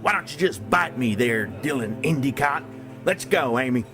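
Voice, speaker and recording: Actor as menacing, bullying husband, curt and crude, middle register, music bed; radio play. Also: male voice, medium pitch, expressive, normal speed, lightly acted. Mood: hostile. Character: bullying, controlling, foul-tempered husband. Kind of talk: audio drama acting. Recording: music under the voice.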